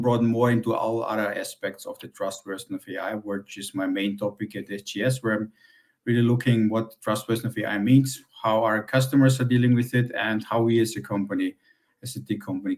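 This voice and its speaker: Slightly low-voiced man on a panel. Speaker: technology lead, panel guest. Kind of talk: self-introduction on an online panel. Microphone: video call.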